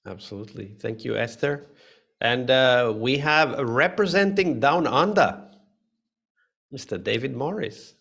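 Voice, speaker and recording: A man's voice: medium pitch, lively intonation, a little slow, creaky, a bit bright, slightly rough. A man hosting a live online session, speaking casually with many fillers and restarts over a video call mic. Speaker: host.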